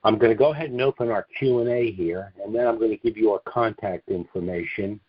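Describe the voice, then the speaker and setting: Male conference call host, middling-low voice, halting explainer taking caller questions, dull lo-fi sound, dry with no room echo. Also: breathy edge.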